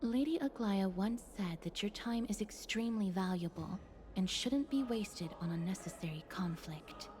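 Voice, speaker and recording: Woman playing a gentle, solemn maiden, medium pitch. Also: animated, normal speed, natural voice. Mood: calm. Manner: soft-spoken, formal, composed. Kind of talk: video game drama acting. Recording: game music behind voice.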